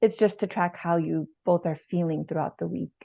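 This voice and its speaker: Woman acting a gentle therapist, creaky, mellow voice. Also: on the low side, moderate pace. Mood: reassuring. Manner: reassuring, hesitant, repeats gently. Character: calm, supportive, gentle female family therapist. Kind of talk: improvised role-play of a therapy session. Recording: echoey room, slightly dull sound.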